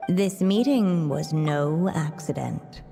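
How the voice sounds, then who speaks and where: A woman narrating a fairy tale for a video game in a gentle, solemn, measured storytelling voice. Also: low-pitched. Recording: long reverb, faint music behind.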